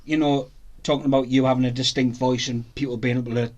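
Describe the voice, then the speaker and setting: A man's voice: mid-range voice, little pitch movement, quick pace, darkish tone, muffled recording. A man hosting a podcast in a studio, talking enthusiastically and rambling on with restarts. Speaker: podcast host. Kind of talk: casual interview chat.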